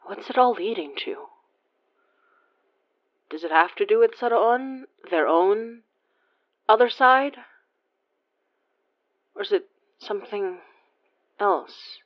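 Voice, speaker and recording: A woman's voice: medium pitch, animated, slow pace, pauses often, lo-fi sound. A woman playing a friendly, weary investigator of the supernatural, speaking in a casual, conversational, sometimes hesitant way. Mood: pensive, worried. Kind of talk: naturalistic audio drama acting.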